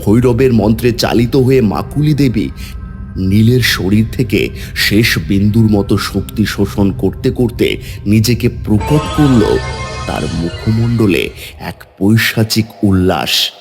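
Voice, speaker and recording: A man's voice: lowish voice, slow, darkish tone, faint music behind. A man narrating a scripted devotional horror story in a dramatic storytelling style.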